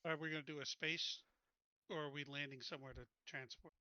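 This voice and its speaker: A man playing a tabletop roleplaying game, talking casually and haltingly as he gropes for words. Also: a little high, steady tone, sharp tone, dull audio.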